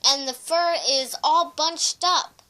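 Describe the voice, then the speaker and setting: A put-out mama bear from a storybook, acted by a female reader. She complains huffily in a high, bright voice at a slow pace. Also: full character voice. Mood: huffy. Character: huffy, growling mama bear.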